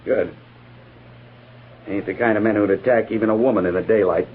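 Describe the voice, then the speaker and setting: An actor playing a salty, wry, grumbling cavalry sergeant in a 1950s radio western, talking in a folksy way. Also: male voice, lowish voice, fairly even intonation, brisk, lightly acted. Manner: folksy, griping. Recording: muffled, hissy old-time radio recording.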